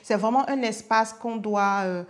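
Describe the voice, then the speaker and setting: A woman's voice: fairly high pitch, unhurried. A woman podcast guest explaining in an enthusiastic, conversational way, with rhetorical questions and repeated words.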